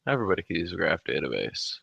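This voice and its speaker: Casual male talk guest, low-pitched with forceful, bright edge, blunt and sweary, close dry sound. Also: sing-song, very fast, gravelly, slightly rough, no room sound, highs cut off. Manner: blunt, heavily profane. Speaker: guest on a livestream talk show. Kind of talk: casual chat.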